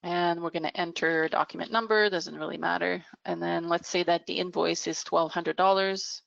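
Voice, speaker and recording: A woman with a fairly low voice and plenty of vocal fry walks through a business software demo. She explains in measured phrases, pausing hesitantly as she goes. The recording is somewhat dull and lo-fi. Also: fairly even intonation, dry recording. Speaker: software product demonstrator. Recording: dull, muffled lo-fi audio.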